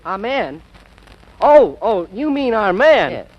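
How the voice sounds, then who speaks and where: An actor playing an excitable, status-conscious young college man, boyish and easily flustered, in 1940s radio style. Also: high-pitched, slow, heavily acted. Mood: eager, nervous. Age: young adult. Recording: dull old radio broadcast recording.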